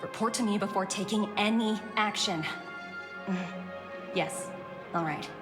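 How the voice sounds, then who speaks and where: Woman playing cool composed young business exec lady, game cutscene, mid pitch, music underneath. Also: unhurried, natural voice. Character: poised, brisk young female corporate executive. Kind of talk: video game voice acting.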